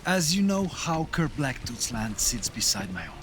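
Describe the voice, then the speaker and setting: A man playing an embattled Viking jarl in a game, an earnest and measured ally with a coarse tongue. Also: medium pitch, big pitch swings, background noise, lightly acted. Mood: aggrieved. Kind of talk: cinematic game dialogue. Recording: studio voice over game music.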